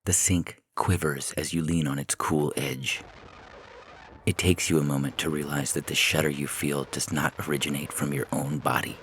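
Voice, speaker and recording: A man reading a scripted short story as narrator, in a measured, deliberate way. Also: rumbling low, level tone, fairly bright tone, slight background noise. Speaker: narrator and podcast host.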